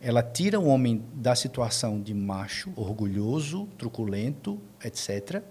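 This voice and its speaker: A man with a Brazilian accent, a podcast guest explaining conversationally in a halting, deliberate way with repeated words. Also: mid-range voice, big pitch swings, darkish tone, breathy edge.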